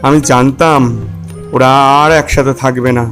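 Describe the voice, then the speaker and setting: An elderly, knowing deer godfather, played by a man gives admonishing morals in a puppet tale. The male voice is medium in pitch, with soft music behind. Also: level tone, normal speed, lightly acted. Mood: regretful. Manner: admonishing, preachy. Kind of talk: puppet story character acting.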